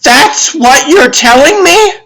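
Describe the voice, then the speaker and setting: A man's voice: very high-pitched, expressive, slow pace, vocal fry, bright, forceful tone, exaggerated voice, rough recording. A man voicing an incredulous, melodramatic TV show performer in a comic dub, overwrought and emphatic. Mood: overdramatic.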